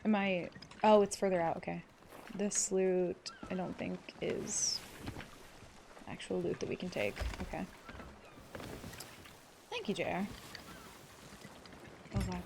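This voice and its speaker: A woman's voice: on the low side, takes it slowly, creaky, long pauses, background noise. Chatty, swearing woman streamer. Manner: chatty, excitable, swears freely. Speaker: livestreamer. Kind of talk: casual gameplay commentary.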